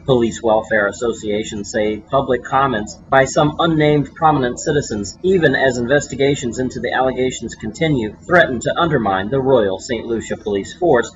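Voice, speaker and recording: A man's voice: medium pitch, moderate pace, hardly any pauses, a little hiss or hum. A man reading scripted regional news as an anchor. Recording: dull lo-fi broadcast audio.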